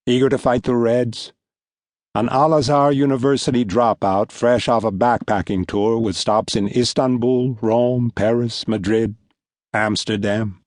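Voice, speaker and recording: A man with a low voice narrates a literary novel. His phrasing is deliberate and measured, as in a scripted audiobook. The recording is dry and close, with little room sound. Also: darkish tone, no echo. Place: recording studio.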